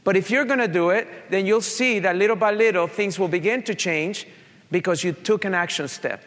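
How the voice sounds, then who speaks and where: A man with a Colombian accent and a slight Italian touch speaks earnestly to an audience. He tells a personal story in a fairly high voice. The sound carries a long hall reverb. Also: brisk, fairly bright tone. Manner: earnest, direct, addresses audience. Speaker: motivational speaker. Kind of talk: motivational talk with personal story.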